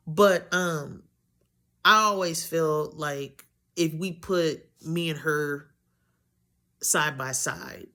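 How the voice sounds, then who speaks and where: Woman, deep voice, young adult, slow, rambling, self-correcting. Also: a bit bright. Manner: rambling, loses her train of thought, self-correcting. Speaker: vlogger. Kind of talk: candid personal talk to camera.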